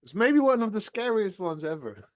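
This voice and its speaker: A singer in his twenties answering interview questions in a relaxed, halting way, often laughing between phrases. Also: sits high. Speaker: rock band singer, guest.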